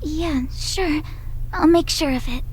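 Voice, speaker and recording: Earnest, very high-voiced young girl. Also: takes it slowly, slight background noise. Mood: hesitant. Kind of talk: visual novel voice acting.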